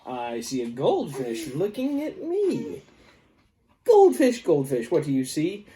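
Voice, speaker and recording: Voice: medium pitch, wildly swooping pitch, takes it slowly, dark tone. An adult reading a picture book aloud to a young child, playful and sing-song, with exaggerated delivery. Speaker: parent-like storybook reader leading a child.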